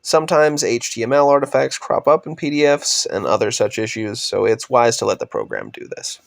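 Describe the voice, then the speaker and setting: Young guy w a raspy cold voice, kinda gravelly, walking thru his research slides, dry sound. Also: mid-range voice, a little fast, dry recording. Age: young adult. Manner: steady, explanatory, trails off at sentence ends. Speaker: undergraduate researcher presenting. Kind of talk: scripted slide presentation narration.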